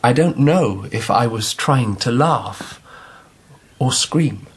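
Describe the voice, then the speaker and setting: Husky, imperious emperor. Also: male voice, mid-range voice, animated, takes it slowly, exaggerated voice. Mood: musing. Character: imperious, introspective male Japanese emperor. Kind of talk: radio drama acting.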